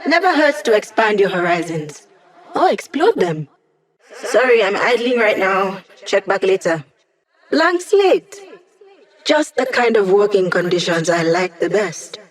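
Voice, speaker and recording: Upbeat, wisecracking young hacker. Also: female voice, mid-range voice, sing-song, a little slow. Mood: casual, helpful, then focused, informative. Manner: casual, playful, teasing. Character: upbeat, wisecracking young woman hacker aiding villain squad. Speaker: voice actor. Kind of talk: video game voice lines.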